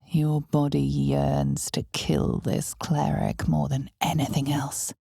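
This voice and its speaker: A deep female storyteller narrates a dark fantasy video game with slow, deliberate weight. Her voice is heavily creaky and husky, and her delivery is theatrical and full of foreboding. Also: no room sound, studio quality, full character voice. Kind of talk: scripted second-person dramatic narration.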